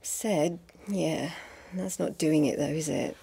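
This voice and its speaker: A woman's voice: deep voice, slow, creaky, warm tone, husky, exaggerated voice. A woman acting in a radio drama as a trusting, easily defensive lover of a married man. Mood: adoring, needy.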